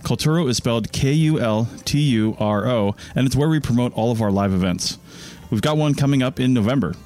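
A man's voice: mid-range voice, fairly quick, darkish tone, faint music behind. A man who hosts podcasts, reading a scripted promotional announcement. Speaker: podcast network founder and host.

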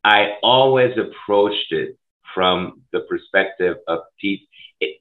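A middle-aged man from Switzerland, a school food services director, speaking passionately and emphatically in an interview over a video call. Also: lowish voice. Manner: passionate, emphatic, rapid bursts. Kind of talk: conversational interview. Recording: tinny phone-like line.

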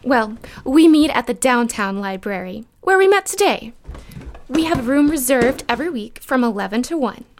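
A woman's voice: a little high, animated, moderate pace, a little hiss or hum. An actress playing a gracious, bookish mid-century book club lady in an audio drama, polite, refined and encouraging. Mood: friendly. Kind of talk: period audio drama dialogue.

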